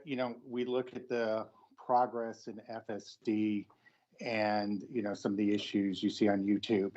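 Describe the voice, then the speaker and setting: A man putting pointed, halting questions as an analyst on an earnings call. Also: fairly low pitch, darkish tone. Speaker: financial analyst caller. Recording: dull lo-fi call line.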